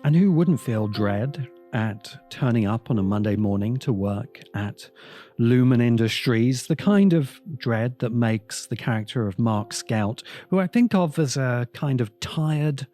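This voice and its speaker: A man delivering essay-like commentary as a podcast host, measured and deliberate, pausing dramatically in the middle of sentences. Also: mid-range voice, expressive, warm tone, faint music behind. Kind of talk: essay-like scripted commentary on science fiction.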